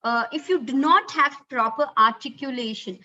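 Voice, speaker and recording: A woman's voice: sits high, expressive, normal speed, bright, forceful tone. A woman whose first language is Kannada, speaking with an Indian English accent, giving an earnest online lecture on communication skills with many fillers like "uh" and "okay". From Karnataka, India. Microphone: computer mic on a video call. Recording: dull lo-fi webinar audio.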